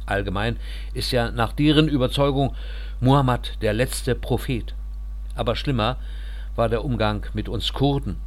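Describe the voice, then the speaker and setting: A man reading the part of a reserved thirty-one-year-old refugee engineer, telling his story quietly and earnestly. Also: mid-range voice, moderate pace, natural voice.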